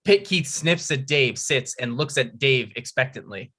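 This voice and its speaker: A man's voice: medium pitch, expressive, a little slow, bright, forceful tone. A man reading out scripted stage directions as narrator at a comedy table read.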